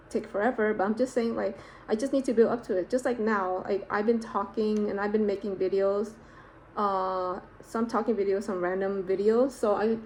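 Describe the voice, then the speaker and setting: A woman's voice: mid-range voice, darkish tone, a little hiss or hum, poor audio. A woman talking to her camera in a casual vlog, rambling and self-reflective with many fillers and restarts, a native Vietnamese speaker. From United States, California. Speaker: vlogger.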